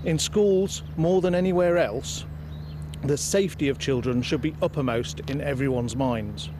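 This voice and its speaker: A man's voice: on the high side, normal speed. A man who is a solicitor giving a formal prepared statement outdoors. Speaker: family's solicitor. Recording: noisy outdoor recording with hum.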